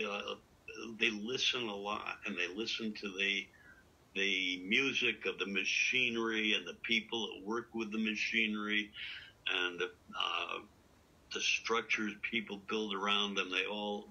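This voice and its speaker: A man with a deep voice, a poet being interviewed, speaking haltingly with many pauses and fillers. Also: fairly even intonation, creaky, sharp tone, husky, low-quality recording. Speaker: poet guest. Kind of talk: casual interview.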